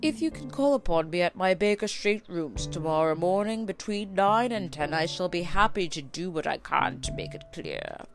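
A woman playing a confident male detective in a story, speaking in a measured, formal, assured way. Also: lowish voice, sing-song. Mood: composed, formal. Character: confident male detective, brisk, shrewd and composed. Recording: music behind the voice.

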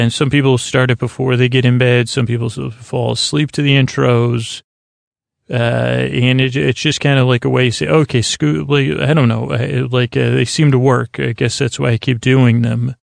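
A man hosting a bedtime podcast, talking in a meandering, halting monologue that circles back on itself, with a creaky voice. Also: medium pitch, no echo, studio quality. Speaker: podcast host. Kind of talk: rambling bedtime-story podcast intro monologue.

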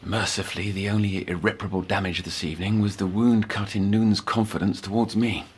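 An actor playing an obsessive, scholarly therapist, speaking formally and articulately in a brooding audio drama. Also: male voice, fairly low pitch, steady tone, fairly quick, noisy background, close to an everyday voice. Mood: brooding, determined. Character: obsessive, scholarly male therapist-researcher keeping session notes. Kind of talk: dramatic monologue, audio journal.

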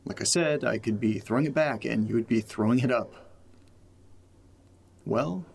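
Creaky-voiced, self-deprecating actor as amateur podcaster guy. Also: medium pitch, normal speed, darkish tone. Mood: wry, upbeat. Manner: self-deprecating, rambling, profane, joking. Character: rambling self-deprecating young man recording an audio diary. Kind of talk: found-footage audio drama, casual podcast monologue.

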